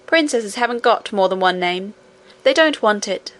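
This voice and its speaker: A woman's voice: mid-range voice, animated, normal speed, a bit bright, natural voice. A woman voicing an anxious, proper nursemaid in a storybook, with a fussy, easily indignant manner. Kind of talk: storybook character reading.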